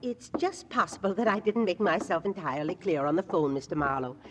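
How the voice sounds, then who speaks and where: A woman's voice: fairly low pitch, a little fast, background noise, close to an everyday voice. A woman playing a proud, refined elderly widow in 1940s radio drama, hesitant and dithering as she speaks. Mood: fluttery, apologetic. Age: older.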